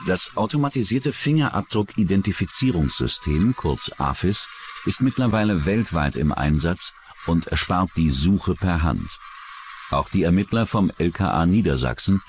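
A man's voice: low-pitched, lively intonation, moderate pace, slight background noise. A man reading scripted narration for a true-crime documentary.

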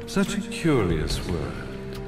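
A man's voice: sits low, huge pitch swings, slow, exaggerated voice. An actor playing a grandiose, coolly menacing zealot sage in a video game, pompous and theatrical in his phrasing. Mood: solemn, zealous. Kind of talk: dramatic video game villain monologue. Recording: echoey hall, long reverb, music behind.

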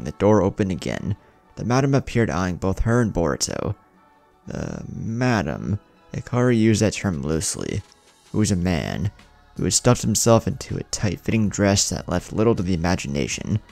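A man with a low, creaky voice reads a scripted story as a dramatic narrator. His pitch moves up and down in an animated way, with soft music underneath.

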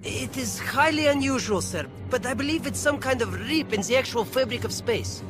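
A man's voice: extremely high pitch, lively intonation, moderate pace. A man playing an earnest, eager young starship navigator in a sci-fi game. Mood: earnest, concerned. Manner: earnest, formal. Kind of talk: scripted sci-fi game acting. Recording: very loud music under the voice.

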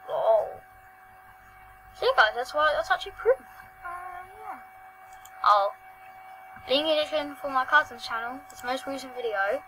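A female host giving excitable, rambling gameplay commentary over a screen recording, often trailing off into mumbles. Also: high voice, unhurried, long pauses, rough recording. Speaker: gaming channel host. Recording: steady hum behind the voice.

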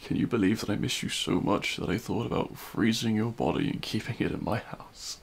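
A man playing a remorseful, self-loathing supervillain in an intimate audio roleplay, speaking in a halting, anguished way. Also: on the low side, gravelly. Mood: tearful self-loathing. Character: remorseful male supervillain confessing love at a grave.

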